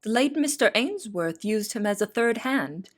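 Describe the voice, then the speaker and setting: A woman's voice: fairly high pitch, animated, normal speed. A woman playing an earnest young cook in an audio drama, narrating in formal, literary wording. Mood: polite, eager. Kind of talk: audio drama first-person narration and dialogue.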